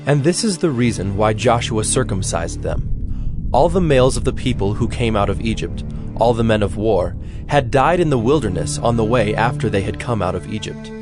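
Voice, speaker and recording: A man narrating scripture from a script in a formal, measured storytelling manner. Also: on the low side, lively intonation, over music.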